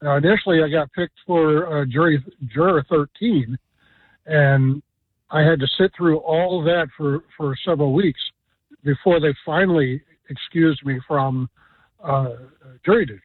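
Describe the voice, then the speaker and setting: A man's voice: on the high side, no room sound. Rambling man caller, dry phone line. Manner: halting, rambling, many fillers. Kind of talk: phone-in chat. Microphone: telephone.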